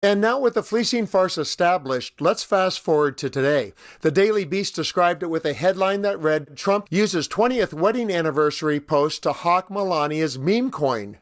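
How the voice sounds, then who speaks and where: Guy with a high, slightly rough voice doing sarcastic politics commentary, wry and mocking. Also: lively intonation, moderate pace, few pauses, dry recording, studio quality. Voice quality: somewhat rough voice, as the speaker says. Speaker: commentary host.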